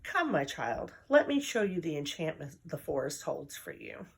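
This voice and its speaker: A woman voicing a small, kindly old male dwarf who coaxes gently. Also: sits low, sing-song, normal speed, vocal fry, husky, exaggerated voice. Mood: coaxing. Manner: coaxing, gently insistent.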